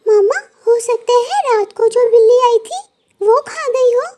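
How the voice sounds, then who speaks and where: A woman's voice: extremely high pitch, unhurried, noisy background. A woman voicing a sweet, dutiful little girl sparrow in a cartoon story. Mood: innocent.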